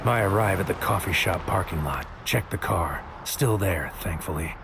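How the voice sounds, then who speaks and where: A man's voice: deep voice, moderate pace, vocal fry, background noise. An actor playing an anxious, brooding agent who tells his own story in a noir audio drama, in terse poetic fragments. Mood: brooding, tense. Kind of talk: first-person noir audio drama narration.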